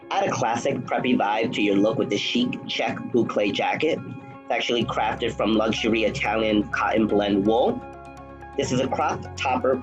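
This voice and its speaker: A man's voice: lowish voice, lo-fi sound. Man describing outfits over music. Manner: smooth, salesy product description. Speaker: fashion presenter. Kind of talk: runway-style commentary on outfits. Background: background music.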